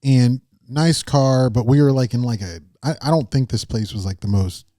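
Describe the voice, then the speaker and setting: A man's voice: medium pitch, normal speed, dark tone, dry recording, studio quality. A man co-hosting a podcast, telling a road-trip story in a loose, rambling way. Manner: rambling, many fillers and restarts. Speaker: podcast co-host. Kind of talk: casual chat.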